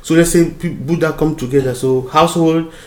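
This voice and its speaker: A man's voice: fairly high pitch, fairly even intonation, fairly quick, roomy sound. A man from Cameroon giving an informal lecture, explaining a diagram with many fillers and restarts.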